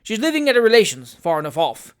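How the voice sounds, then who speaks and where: A reader voicing a jovial, hearty old country gentleman who talks loudly and cheerfully in an audiobook. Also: sing-song, fast, close to an everyday voice. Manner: boisterous, loud, booming cheer. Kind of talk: audiobook character reading.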